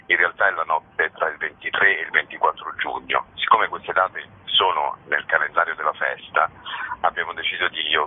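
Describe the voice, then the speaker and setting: A man's voice: a bass voice, husky, phone-quality sound. A man explaining an event in an informal interview, talking in a discursive, expansive way, as a festival co-director.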